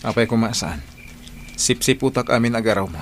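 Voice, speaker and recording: A man's voice: mid-range voice, brisk, slight background noise, close to an everyday voice. A man with an Ilocano accent acting a patient, hard-working husband and father in a radio drama, speaking in an earnest, conversational way. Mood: suspicious.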